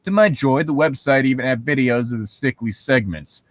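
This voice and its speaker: A man's voice: mid-range voice, normal speed, dry recording. A man co-hosting a casual reading of internet horror stories, joking and swearing as he goes. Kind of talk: casual banter and reading aloud.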